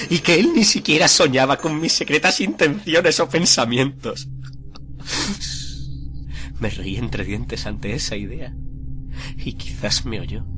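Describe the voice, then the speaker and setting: An actor playing a nervous, obsessive murderer confessing his crime in a theatrical, deliberate radio drama monologue. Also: on the low side. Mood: frenzied, agitated. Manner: theatrical, deliberate, swelling from hushed to frenzied. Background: music under the voice.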